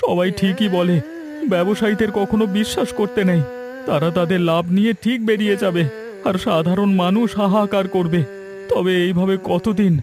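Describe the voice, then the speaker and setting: A man's voice: sits high, steady tone, normal speed. A man voicing a scheming but gullible young village errand man in a cartoon, wheedling and plaintive. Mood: betrayed, aggrieved. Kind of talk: Bengali cartoon voice acting.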